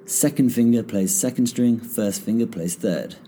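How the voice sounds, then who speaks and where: A man hosting a guitar lesson podcast, calmly explaining finger positions step by step, his voice a little croaky. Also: fairly low pitch, moderate pace. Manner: relaxed, step-by-step instruction. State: slightly croaky after singing. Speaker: guitar teacher and podcast host. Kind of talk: casual spoken guitar lesson. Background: guitar playing between phrases.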